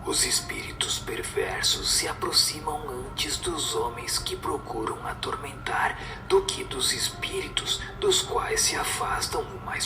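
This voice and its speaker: A man playing a solemn spirit teacher in a radio drama, speaking in a measured, didactic and breathy whispered voice. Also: medium pitch. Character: solemn male spirit teacher giving moral answers. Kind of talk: scripted radio drama recitation. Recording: echoing voice over music.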